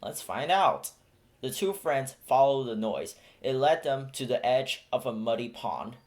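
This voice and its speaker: A man narrating a children's picture book aloud in a steady, clear storytelling manner. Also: mid-range voice, normal speed. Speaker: storybook reader.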